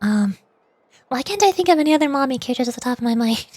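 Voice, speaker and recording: A woman's voice: high-pitched, expressive, fast talker, a little mellow. A woman streamer giving casual, playful, rambling commentary while she plays a video game.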